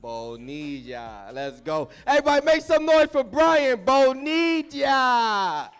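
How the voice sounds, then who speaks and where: A man's voice: very high voice, sing-song, takes it slowly, clean tone. A man hosting a live comedy show, hyping the crowd in loose slang. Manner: loud, slangy crowd hype. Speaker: show host. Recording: music playing behind.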